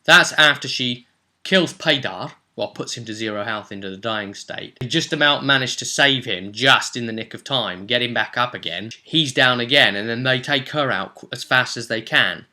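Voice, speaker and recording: A man's voice: medium pitch, moderate pace, bright, forceful tone. A British man who works as a game master, casually recapping a tabletop role-play session in a rambling, colloquial storytelling manner.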